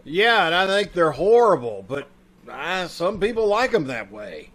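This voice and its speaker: A man playing a stubborn, sardonic tree-lot salesman in old-time radio comedy, curt and quick to retort. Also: high voice, sing-song, fairly bright tone, slight background noise, full character voice. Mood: unimpressed. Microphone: video call.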